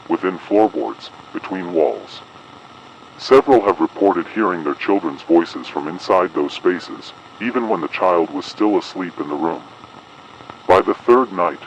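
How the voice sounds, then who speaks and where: A man playing an official announcer delivering a measured, formal, ominous emergency advisory in an analog horror broadcast. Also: sits low, dull audio, a little hiss or hum. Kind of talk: scripted analog horror public broadcast narration.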